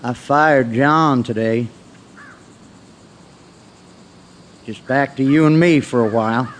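A gruff, folksy farmer played by an actor in an audio drama. His medium-pitched voice is plainspoken and suspicious, with faint outdoor noise and crows behind him. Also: moderate pace, lightly acted. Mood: weary, hopeful. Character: gruff, suspicious, hardworking husband on a failing farm. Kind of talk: naturalistic audio drama acting. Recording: noisy outdoor farm ambience with crows.

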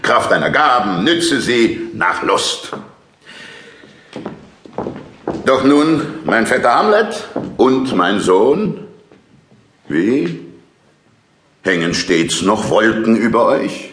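An actor playing a pompous middle-aged king in a classical audio drama, declaiming smoothly and deliberately. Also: fairly low pitch, big pitch swings, a bit bright, roomy sound, exaggerated voice. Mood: gracious.

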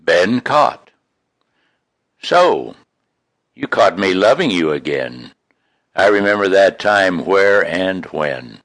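A man reading his own poems in an expressive, folksy, homespun way, with casual introductions between them. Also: deep voice, slow, long pauses, dry recording, clean studio sound. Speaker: poet reading own work.

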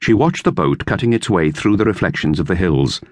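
A man reading a children's novel as an expressive audiobook narrator. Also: low voice, brisk, dry recording, studio quality.

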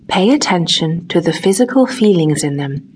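Woman doing a guided body relaxation narration, kinda low and really breathy husky, gentle, lots of long pauses. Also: expressive. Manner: gentle, unhurried, long pauses between short phrases. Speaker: audiobook narrator, meditation guide.

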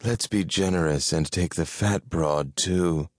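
A man's voice: low-pitched, a little slow, no room sound, studio quality. A man voicing a blunt, selfish, easily bored female cat creature with a disdainful manner, in an audiobook. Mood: wheedling.

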